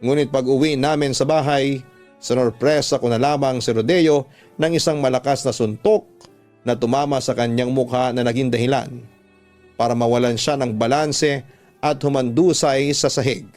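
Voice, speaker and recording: A man's voice: medium pitch, fairly even intonation, normal speed, darkish tone. Male radio drama narrator over background music. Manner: earnest, sentimental storytelling. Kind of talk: dramatic first-person letter reading. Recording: music under the voice.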